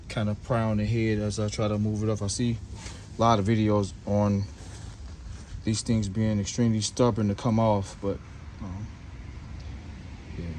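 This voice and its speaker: A man with a fairly low voice walks through a car repair in a loose, chatty way, often turning to his viewers as if they stand right beside him. He sounds sniffly, his nose running from the cold outdoors. Some faint noise hangs behind him. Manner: relaxed, chatty, talks straight to viewers as "guys". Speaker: DIY mechanic and channel host. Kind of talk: casual hands-on repair vlog.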